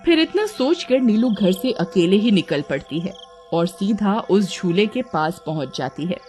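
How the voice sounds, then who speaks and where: A woman's voice: mid-range voice, lively intonation, measured pace, music in the background. A woman narrator reading a scripted story.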